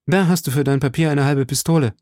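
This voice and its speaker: A man voicing a bold, impetuous young gentleman musketeer in an audiobook. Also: fairly high pitch, fast, dry recording, clean studio sound, pitched above the performer's own voice, natural voice. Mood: brisk. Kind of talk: audiobook character voice.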